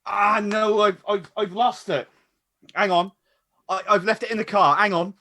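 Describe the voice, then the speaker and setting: A man's voice: sits high, fairly even intonation, measured pace, sharp tone, exaggerated voice. An actor playing a flustered, self-deprecating male amateur performer in a village radio comedy, hesitant and apologetic. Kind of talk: radio comedy drama acting.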